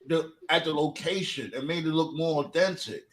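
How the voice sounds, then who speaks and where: A man's voice: a little high, moderate pace, crowd noise. A man in casual panel chat, talking in a slangy, profane, joking way. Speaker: podcast panelist. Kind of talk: casual chat about wrestling.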